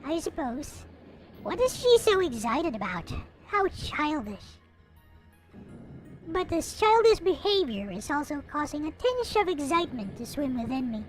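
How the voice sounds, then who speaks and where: Female narrator, high and lively, theatrical read-aloud with playful asides, music underneath. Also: unhurried, poor audio. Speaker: host reading a visual novel aloud. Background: background music.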